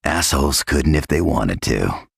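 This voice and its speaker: An actor playing a cynical, hard-bitten undercover tough guy in a video game, gravelly and husky, with a blunt, sardonic delivery. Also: extremely low pitch, animated, a little slow, no room sound, clean studio sound, full character voice. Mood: dry, cynical. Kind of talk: video game cutscene acting.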